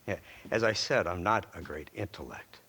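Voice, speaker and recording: A man's voice: low-pitched, a little slow, sharp tone, husky, heavily acted. A man acting as a smug, sardonic, ruthless American government agent in a western video game, speechifying mockingly with theatrical flair. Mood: breezy, cynical. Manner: mocking, rhetorical speechifying with chuckles.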